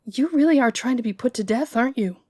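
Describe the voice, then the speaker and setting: A woman's voice: a little high, lively intonation, fast, darkish tone, dry recording, clean studio sound. A woman playing an outspoken, gossipy young lady-in-waiting in a romantic fantasy audio roleplay, informal, teasing and openly indignant. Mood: scolding.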